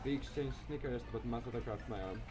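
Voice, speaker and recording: Low-voiced man narrating over loud music. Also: fast talker. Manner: amateur dramatic narration. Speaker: let's player. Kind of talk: reading a visual novel aloud with character voices.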